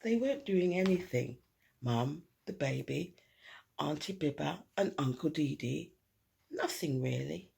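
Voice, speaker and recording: A woman reading a children's picture book aloud expressively, dramatic, with hushed asides and emphasis on repeated words. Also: sits low, expressive, measured pace, creaky, husky. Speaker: storyteller.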